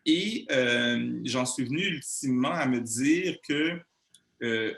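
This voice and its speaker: A man's voice: medium pitch, animated, slow pace, gravelly, fairly bright tone, echoey room. A man in his thirties with a Québécois French accent answers interview questions, hesitating often with fillers and restarts. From Montreal, Canada. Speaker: guest speaker.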